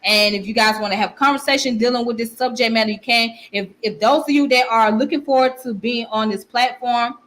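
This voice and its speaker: A woman's voice: fairly high pitch, a little fast, hardly any pauses, fairly bright tone. A woman with a strong Southern accent, hosting a casual livestream where she reads comments and often repeats her phrases. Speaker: channel host.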